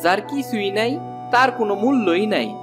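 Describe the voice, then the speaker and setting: A man's voice: high-pitched, animated, fairly quick, over music. A man playing a friendly, brotherly village neighbour in a cartoon, folksy and familiar. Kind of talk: cartoon dialogue acting.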